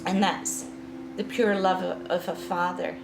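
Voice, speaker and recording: A Scottish woman in her sixties, her Edinburgh accent plain in a slow, unhurried delivery. Her voice has a gravelly, creaky edge, and she pauses and restarts as she thinks. The room sounds echoey, with music playing underneath. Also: fairly low pitch, steady tone, noisy background. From Scotland. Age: 60s. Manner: slow, reflective, with frequent restarts. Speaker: guest author. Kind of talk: podcast interview.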